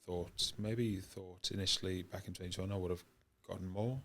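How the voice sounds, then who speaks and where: A man's voice: deep voice, fairly even intonation, brisk, a bit bright. A young man from Wales with an accent mixing Welsh and New Zealand, answering podcast questions hesitantly with many ums and restarts. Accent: mixed Welsh and New Zealand. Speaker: guest, professional rugby player. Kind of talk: podcast interview.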